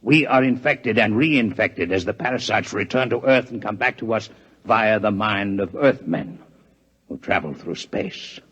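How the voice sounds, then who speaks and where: Husky, grave alien doctor. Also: male voice, fairly low pitch, measured pace, fairly bright tone, lo-fi sound. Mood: grave, explanatory. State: weakened, ill. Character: male alien doctor, grave, ailing, coolly explanatory. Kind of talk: 1950s radio drama acting. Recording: old 1950s radio recording.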